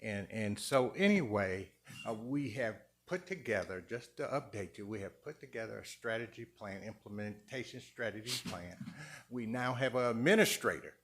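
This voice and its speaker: A man with a fairly low voice speaks at a council chamber podium, stumbling and restarting before pressing on with emphasis. His delivery is unscripted and earnest in tone of public comment. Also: lively intonation, a bit bright, a little hiss or hum. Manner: halting, repeats words, rising emphasis.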